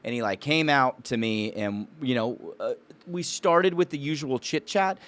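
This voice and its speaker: A man's voice: medium pitch, normal speed, fairly bright tone. A man chats as a podcast co-host in a mid-range voice. He talks informally, like someone telling a story to a buddy. The style is loose, conversational and a bit bro-ish. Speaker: podcast co-host and business owner. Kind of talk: casual conversational podcast talk.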